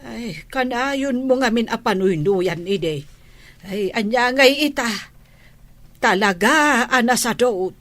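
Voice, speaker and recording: A woman's voice: on the high side, lively intonation, slow, a bit bright, dry recording. A woman with an Ilocano accent playing a scolding, exasperated village mother in a radio comedy drama.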